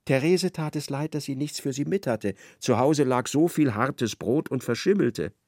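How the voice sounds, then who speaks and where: A man playing a wry literary narrator in a radio drama, expressive and ironic as he slips into a character's thoughts. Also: mid-range voice, normal speed, dry recording, clean studio sound.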